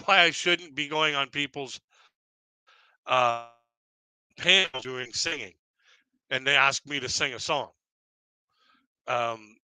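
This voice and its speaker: A middle-aged man telling self-deprecating comic stories on a live stream in a rambling, halting way. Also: bright, forceful tone, clean tone, dry recording. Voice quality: deep voice, by his own account. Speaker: stand-up comedian and live streamer.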